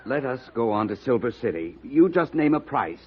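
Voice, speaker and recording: An actor playing an anxious, wheedling rich gentleman in a 1950s radio western, with a raspy voice. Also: mid-range voice, big pitch swings, moderate pace. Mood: anxious bargaining. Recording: muffled old radio recording.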